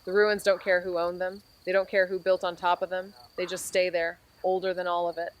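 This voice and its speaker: An actress playing a weary, wry amateur investigator in a noir audio drama, with measured, deadpan delivery. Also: female voice, fairly low pitch, lightly acted, low-quality recording. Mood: somber, reflective. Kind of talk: scripted noir audio drama, narration and dialogue.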